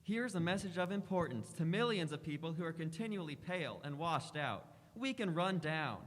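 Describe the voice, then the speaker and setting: Higher-voiced man announcing a vintage radio ad. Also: animated, moderate pace, a bit bright. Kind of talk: 1940s radio advertisement read. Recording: hollow, reverberant room.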